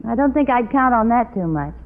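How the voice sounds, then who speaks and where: A woman playing a patient, dryly teasing middle-aged wife in a vintage radio sitcom, calm and gently skeptical. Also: fairly high pitch, a little fast. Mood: patient, practical. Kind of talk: 1940s-50s radio sitcom acting. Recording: tinny, very noisy old radio recording.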